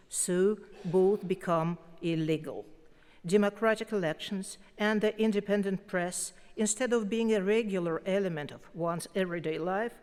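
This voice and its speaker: A woman with a Russian accent, a prize laureate giving a formal prepared acceptance speech in a slow, deliberate way with weighty pauses, in a large concert hall. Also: medium pitch, darkish tone. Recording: long reverb of a concert hall.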